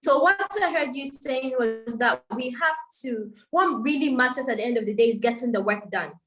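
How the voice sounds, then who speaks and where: A woman's voice: fairly high pitch, moderate pace, a bit bright, poor audio. A woman moderating an online panel, summarizing points and joking lightly with her guests. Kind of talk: webinar moderation.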